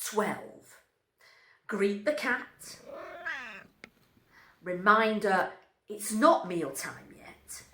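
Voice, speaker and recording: Woman reading stories, slow and echoey. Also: medium pitch, lively intonation, pushed and bright. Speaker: reader of short stories. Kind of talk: expressive scripted story reading. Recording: echoey, roomy indoor sound.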